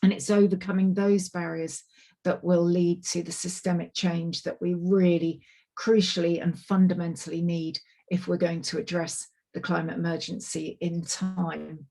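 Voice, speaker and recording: Slightly croaky woman presenting. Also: on the low side, level tone, normal speed, warm tone. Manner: chatty, apologetic, many ums and restarts. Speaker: climate project manager giving a webinar talk. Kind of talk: online presentation, loosely scripted. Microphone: computer mic on a video call.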